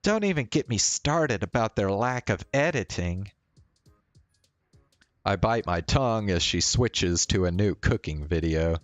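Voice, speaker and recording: Guy streaming a visual novel readthrough, low voice, really unhurried, bit of music under it. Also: lively intonation, dry recording.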